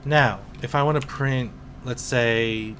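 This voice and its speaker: A man in his twenties, a teacher, calmly explaining programming in a casual screen-recorded tutorial with some fillers. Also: mid-range voice, slight background noise. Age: 20s. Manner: slow, relaxed explaining with fillers.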